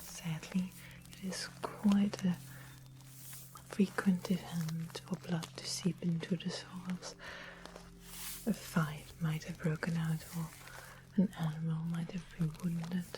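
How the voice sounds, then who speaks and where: A woman playing a gentle medieval fantasy witch in an ASMR roleplay, explaining things in a breathy whisper. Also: on the low side, flat delivery, slow pace, pauses often, noisy background. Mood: hushed, explanatory. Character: soft-spoken medieval fantasy witch explaining potion ingredients.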